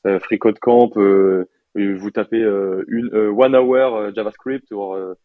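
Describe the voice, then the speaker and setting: A young man in his twenties from France, a software developer chatting casually in an interview with lots of fillers and slang. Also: on the low side, fairly even intonation, unhurried, no echo, phone-quality sound. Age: 20s.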